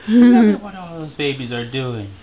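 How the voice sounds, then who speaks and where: A man talking casually and playfully to a baby at home, encouraging and gently teasing. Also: on the high side, very animated, moderate pace. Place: carpeted room at home. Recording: noisy, tinny home-video audio.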